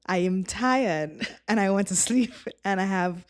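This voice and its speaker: A woman's voice: medium pitch, unhurried, no echo, clean studio sound. A woman with a Trinidadian accent, a former youth pastor chatting casually as a podcast guest and telling stories with animation. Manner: animated storytelling, laughs often.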